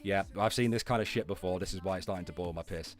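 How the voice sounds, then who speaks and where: A man's voice: low-pitched, fast. A man hosting a casual livestream, giving profane, irreverent running commentary and rants. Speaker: livestream host.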